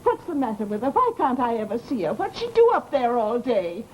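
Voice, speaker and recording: A woman's voice: fairly high pitch, sing-song, a little fast, a little hiss or hum, poor audio. An actress playing a nosy, aggrieved widowed landlady with an American accent in an old-time radio horror drama, talking in a garrulous, dramatic way. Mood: accusing, indignant.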